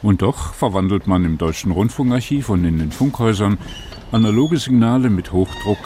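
A man's voice: lowish voice, expressive, moderate pace, dark tone, a little hiss or hum. A man working as a radio reporter, narrating a feature and putting questions to people.

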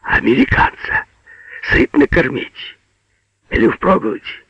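Eager, flustered male attendant , put on by a man reading a novel, raised excitable voice, simple and lively, dry sound. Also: high-pitched, takes it slowly, vocal fry, breathy edge, dry recording, highs cut off, pitched above the performer's own voice, exaggerated voice. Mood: uncertain, flustered. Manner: excitable, calling out, hesitant when questioned.

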